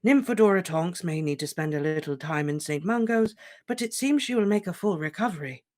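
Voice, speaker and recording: A reader voicing an old male headmaster wizard, speaking in a calm, measured, gentle way. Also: mid-range voice, voice pushed up, lightly acted. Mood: serene. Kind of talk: audiobook character reading.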